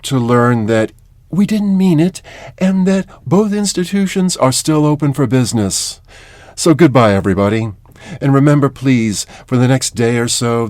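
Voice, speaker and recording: A man's voice: medium pitch, darkish tone, no echo, lightly acted. A man playing a playfully grandiose 1930s radio host, signing off to listeners with theatrical, wry emphasis.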